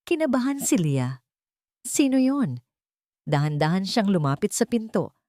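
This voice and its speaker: A woman's voice: medium pitch, very animated, moderate pace, dark tone, no echo, clean studio sound. A woman with a Filipino accent narrating a dramatic scripted story.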